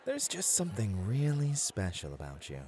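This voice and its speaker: A man playing a charming, flirty club host and entertainer in an ASMR roleplay, with a florid, theatrical, flattering manner. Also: lowish voice, normal speed. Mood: warm, fond. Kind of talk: scripted ASMR roleplay, acted. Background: background music.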